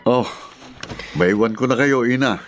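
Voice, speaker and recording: A man's voice: medium pitch, unhurried, soft background music, lightly acted. An actor playing an exasperated but helpful male neighbour in a Tagalog radio drama, with a Filipino accent. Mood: cautioning.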